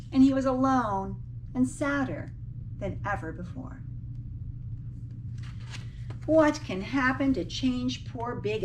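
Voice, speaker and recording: High-voiced woman reading a storybook, distant mic. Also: a little hiss or hum. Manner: animated, dramatic emphasis with drawn-out key words. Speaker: teacher reading a picture book aloud. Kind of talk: expressive children's storybook read-aloud with asides to listeners.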